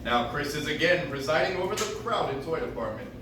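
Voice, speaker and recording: An actor playing a measured 1940s radio producer who narrates the play from a script. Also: male voice, fairly high pitch, lively intonation, natural voice. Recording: echoey distant audience recording, clear noise.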